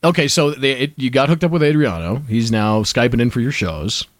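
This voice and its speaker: A man's voice: medium pitch, fairly quick, dry recording. A man hosting a podcast, chatting and teasing his guests with frequent laughter. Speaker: podcast host. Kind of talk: casual podcast chat.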